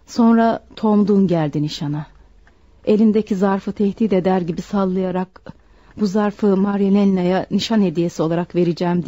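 Actress as mellow, lovelorn, desperate confessed murderess. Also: female voice, medium pitch, no echo, lightly acted. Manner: deliberate, dramatic confessing delivery. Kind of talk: radio play acting. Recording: dull, dry radio recording.

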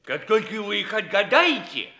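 A man's voice: high voice, sing-song, moderate pace, pushed and bright, slight background noise, full character voice. An actor playing a bossy, clownish buffoon courtier in a theatrical comedy radio play, pompous and stumbling over his words. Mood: playful, teasing. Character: blustering, bossy old comic buffoon courtier, fairy-tale mask.

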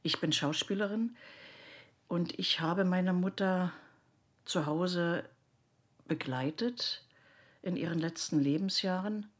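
Woman, breathy-rough and unhurried, quiet personal testimony. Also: fairly low pitch. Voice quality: husky. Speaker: actress.

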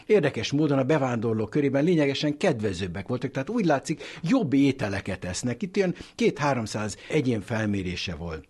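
A husky-voiced man giving emphatic, didactic explanations as a medical expert in an interview. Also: medium pitch, a little mellow.

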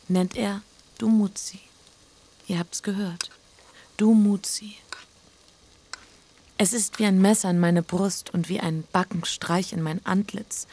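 An actress playing a bitter, neglected first wife and mother addressing her sons, hushed and intense in a literary radio drama. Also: mid-range voice, creaky, husky, full character voice. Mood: wounded, resigned.

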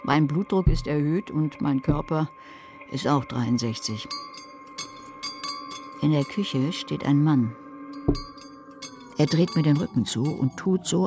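An actress playing a dry, sardonic divorced librarian of 63 in a radio drama, speaking with understatement. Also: female voice, a bass voice, full character voice. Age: 60s. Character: dry 63-year-old divorced retired female librarian. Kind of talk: radio drama narration and dialogue.

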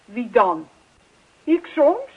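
An actress playing a nagging, neglected wife in a radio play, calling out with persistent, reproachful questions. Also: female voice, on the high side, huge pitch swings, extremely slow, breathy edge, heavily acted. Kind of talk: Dutch radio play acting. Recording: dull lo-fi old radio recording.

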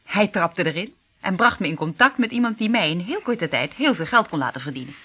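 A woman's voice: medium pitch, expressive, a little fast, a bit bright, husky. An actress in a radio drama, playing a cool, poised royal woman working as a secret agent. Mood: confiding, explanatory.

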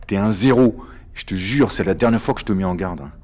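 An actor playing a stern, threatening army lieutenant in an audio drama, curt and intimidating. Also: male voice, lowish voice, fairly quick, a little mellow, close to an everyday voice.

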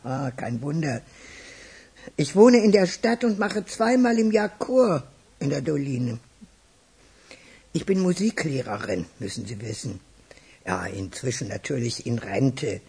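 A woman playing a chatty, proud retired music teacher in a radio drama, teasing and theatrical, with a husky older voice. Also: extremely low pitch, animated, dark tone, exaggerated voice. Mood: proud, wistful. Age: 60s or older. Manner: teasing, drawn-out, theatrical delivery. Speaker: actor in a radio crime drama.

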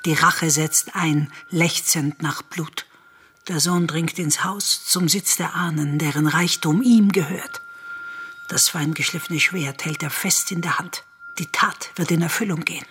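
An actress playing a solemn chorus woman in a classical radio tragedy, portentous and at times hushed. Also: low voice, fairly even intonation, unhurried, slight background noise. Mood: ominous. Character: solemn chorus woman watching and foretelling bloody revenge.

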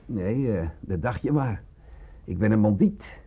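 A very hoarse, cynical rogue of a man in a radio drama, boasting and mocking as he goes. His delivery is slow and theatrical, with wide swings in pitch. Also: animated, narrow, tinny audio, full character voice. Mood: swaggering. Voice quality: hoarse, gravelly. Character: cynical, boastful male bandit and sometime waiter, sickly. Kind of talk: radio play acting.

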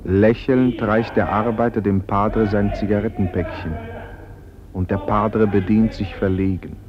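An actor playing the calm narrator of a radio play, reading scripted scene descriptions. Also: male voice, fairly low pitch, unhurried, muffled recording, a crowd in the background, natural voice.

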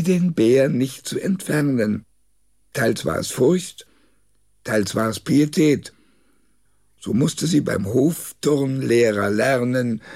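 A man reading a rhymed comic poem for children aloud, expressively and in a deliberate storytelling manner. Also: medium pitch, vocal fry, darkish tone. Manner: measured, deliberate storytelling delivery.

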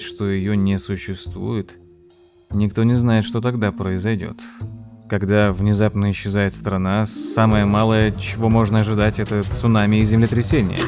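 An actor playing a thoughtful nephew who narrates an audio drama in an expressive, measured way. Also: male voice, fairly low pitch, natural voice. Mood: puzzled, concerned. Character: thoughtful nephew recounting his uncle's strange tale. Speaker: narrator and character. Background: music.

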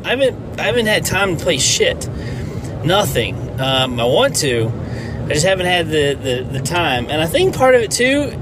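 A man with a nasal, congested voice, rambling through a loose solo podcast and swearing freely. His voice sits slightly high for a man. A clear layer of noise runs under the recording. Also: big pitch swings, moderate pace. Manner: profane and rambling. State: stuffy from allergies. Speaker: podcast host. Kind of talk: casual solo podcast rant.

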